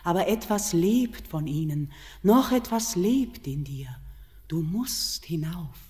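A mysterious queen of the mountain in an old radio play, low-voiced and dark in tone. She speaks slowly and solemnly, drawing her words out. A long hall reverb trails each line. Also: lively intonation. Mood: entreating. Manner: slow, solemn, sinking to hushed whispers. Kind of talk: classic German radio play acting.

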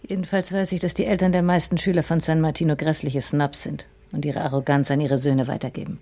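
An actress playing an opinionated, scornful wife of a police inspector in a radio drama, in a dark, mellow voice. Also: female voice, sits low, little pitch movement, a little fast. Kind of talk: naturalistic radio drama acting.

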